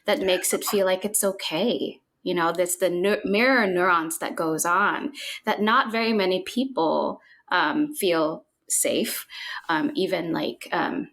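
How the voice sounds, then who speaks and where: Lowish-voiced woman therapist from the Philippines. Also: unhurried, a bit bright, a little hiss or hum. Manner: reflective, thinks aloud with many fillers and restarts. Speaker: guest psychotherapist. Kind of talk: casual podcast conversation.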